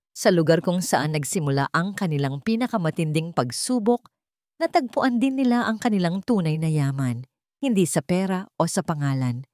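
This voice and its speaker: Low, mellow woman narrating a story. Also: no room sound, studio quality. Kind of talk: scripted dramatic narration of a sentimental romance story.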